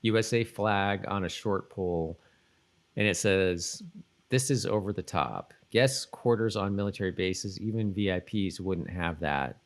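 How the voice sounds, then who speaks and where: A man with a fairly low voice co-hosts a casual podcast. He rambles through his points in an informal, hedging way, now and then reading notes aloud. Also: measured pace. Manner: rambling, hedging, often saying "like". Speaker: podcast co-host. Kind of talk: casual chat about a TV episode, reading trivia aloud.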